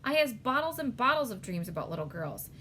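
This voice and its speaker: A woman's voice: a little high, very animated, brisk, bright, forceful tone, a little hiss or hum. A woman voicing a big, good-natured male storybook giant who talks in quaint mangled grammar. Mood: eager.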